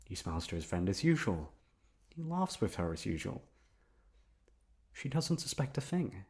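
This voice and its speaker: A man playing an obsessive, lovesick young man in an intimate ASMR roleplay, coaxing softly with drawn-out words and emphatic repetition. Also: sits low, sing-song. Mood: obsessive, yearning. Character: obsessive lovesick young man confessing to his best friend.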